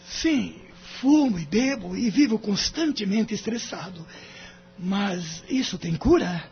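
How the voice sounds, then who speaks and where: A man's voice: high voice, lively intonation, slow, full character voice. A Brazilian man playing a distressed, wounded husband in an audio drama, with a plaintive, dramatic delivery. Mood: subdued, anxious. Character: distressed, wounded husband, stressed smoker, in divorce court. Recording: dull lo-fi audio, music underneath.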